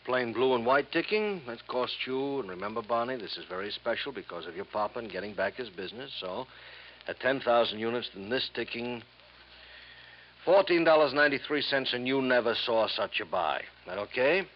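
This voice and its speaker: A man's voice: mid-range voice, expressive, moderate pace, close to an everyday voice. An actor playing a middle-aged, crooked company boss in a radio drama, wheedling and folksy, with salesman patter full of tag questions. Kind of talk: 1950s radio drama acting. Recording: muffled, hissy 1950s radio recording.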